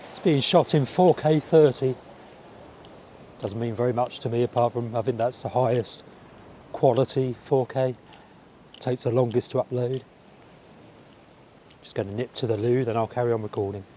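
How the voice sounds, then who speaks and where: A man with a slightly croaky voice from a cold, chatting casually and musing aloud as he walks along outdoors. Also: mid-range voice, fairly even intonation, normal speed, pauses often, warm tone, a little hiss or hum. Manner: rambling, musing aloud to the viewer. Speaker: vlogger. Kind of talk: casual outdoor walking vlog chat. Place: outdoors, walking by a village road and seafront. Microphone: small wireless clip-on mic.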